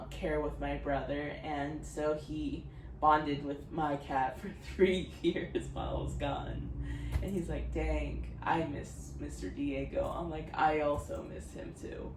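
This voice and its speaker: Low-voiced giggly woman in her twenties. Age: 20s. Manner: rambling, giggly, lots of "like". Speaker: vlogger. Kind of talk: casual chat. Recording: roomy, distant mic, background noise.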